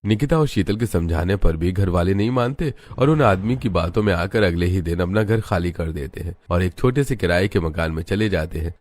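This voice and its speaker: A man's voice: deep voice, a little mellow. A man reading scripted narration for a family cartoon story. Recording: music bed behind the voice.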